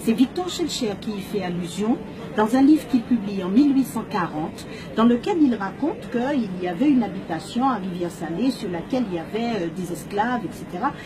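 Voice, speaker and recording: A woman's voice: medium pitch, expressive, a little fast, low-quality recording. A woman from Martinique, presenting her book in an impassioned, emphatic storytelling manner with rhetorical questions. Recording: crowd chatter behind the voice.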